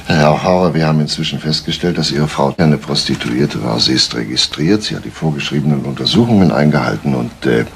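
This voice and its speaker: A man's voice: rumbling low, animated, brisk, vocal fry, few pauses, slight background noise, exaggerated voice. A man playing a blunt, probing, matter-of-fact police detective in a German crime radio play, on an old recording. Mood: probing, sober.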